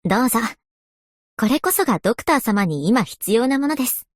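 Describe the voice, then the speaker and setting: An earnest young woman historian for a game. Her voice is high and lively in melody, recorded dry and close. She speaks with very formal, genteel courtesy. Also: brisk, no room sound. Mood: courteous, gentle. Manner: very formal, courteous, refined phrasing. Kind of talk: scripted game character voice lines.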